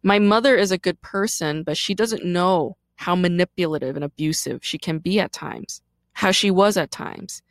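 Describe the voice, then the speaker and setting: A woman in her thirties hosts a podcast, her voice pitched low and her delivery candid and emphatic. She often stops to ask "right?" as she speaks alone into the mic. The sound is dry and close. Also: normal speed, dry recording. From South Korea, raised in Brooklyn. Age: 30s. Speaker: podcast host. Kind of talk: personal monologue.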